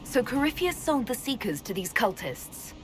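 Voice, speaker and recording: A woman's voice: a little high, big pitch swings, moderate pace, a little hiss or hum. An actress playing a composed woman leader in a fantasy video game, direct and questioning. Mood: calm, concerned. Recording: music behind the voice.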